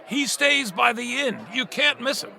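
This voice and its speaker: A man's voice: extremely high pitch, moderate pace, gravelly, sharp tone, some chatter behind, exaggerated voice. A man playing a boisterous, hearty orc diner keeper in a fantasy audio drama, with a bombastic, jovial delivery. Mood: cheerful.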